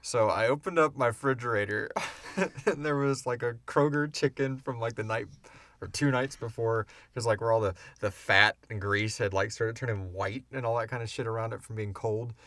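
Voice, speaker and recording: A man in his thirties hosting a casual chat about making an audio show, animated and informal as he tells stories and answers questions. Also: mid-range voice, moderate pace, no room sound, clean studio sound. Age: 30s. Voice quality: wheezy breathing. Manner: animated storyteller, acts out sounds and swears casually. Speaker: podcast host and audio drama creator.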